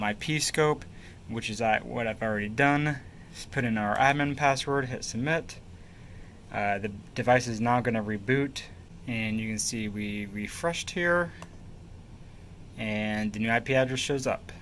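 A man's voice: medium pitch, a bit bright, slight background noise. A man giving a casual, hands-on tech tutorial, explaining each step plainly as a presenter. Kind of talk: hands-on product walkthrough.

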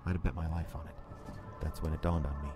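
A man's voice: extremely low pitch, little pitch movement, fast. An actor playing an uneasy working man who narrates his own snowbound horror story in a dramatic, brooding way. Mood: hushed unease. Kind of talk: first-person radio horror narration. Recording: noisy storm ambience under the voice.

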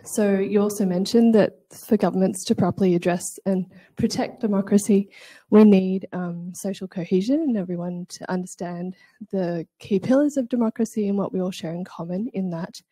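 A woman's voice: mid-range voice, moderate pace, hardly any pauses, dark tone, no echo. A woman in the audience asking a long question at a conference panel, hesitant and restarting words often. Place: conference room.

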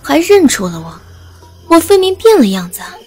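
A woman voicing a sly, bold woman immortal in disguise, with husky, half-whispered musing. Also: sits high, sing-song, normal speed, mellow, soft background music, voice pushed up. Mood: surprised.

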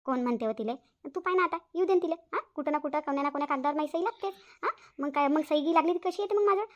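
Cartoon elder sister , woman actor: Varhadi accent, high voice, very rapid, gossipy and put-upon. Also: lo-fi sound, natural voice. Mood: indignant, complaining. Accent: Varhadi Marathi from Vidarbha. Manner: gossipy, repetitive, complaining. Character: chatty, aggrieved married elder sister on the phone. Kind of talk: Marathi cartoon family-drama dialogue.

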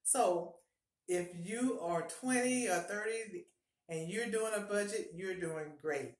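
A woman's voice: medium pitch, takes it slowly. A middle-aged woman giving upbeat, encouraging budgeting advice to camera in a casual home vlog, often repeating her key points. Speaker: personal finance vlogger. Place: small home bathroom, indoors. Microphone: fixed camera at close range. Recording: echoey small bathroom.

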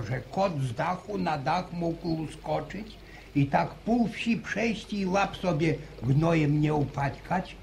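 Older superstitious village grandfather, gravelly and slow, noisy vintage radio-play recording. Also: on the high side, darkish tone. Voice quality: gravelly, halting restarts. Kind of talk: 1950s radio drama acting.

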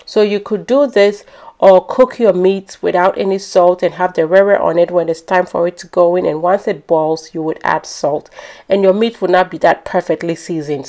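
A woman's voice: fairly low pitch, normal speed, dark tone. A woman with a Ghanaian accent narrating a home cooking tutorial in a chatty, explanatory way. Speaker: home cook hosting a cooking channel. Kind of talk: instructional cooking narration, conversational.

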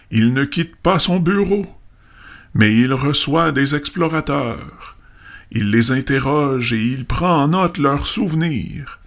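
A man voicing a self-important old scholar in a storybook dramatization, deliberate, pompous and pedantic. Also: medium pitch, a bit bright.

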